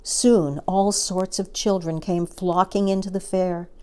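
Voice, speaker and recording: A woman narrating a children's story as an expressive storyteller, reading from a book. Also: on the low side, a little slow, clear, resonant tone.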